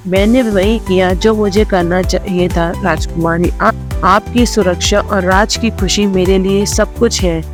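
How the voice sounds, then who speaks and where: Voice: medium pitch, steady tone, a little slow, natural voice. Earnest brave young knight, as a storyteller voices him. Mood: humble, devoted. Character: brave, noble young male knight hero. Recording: music under the voice.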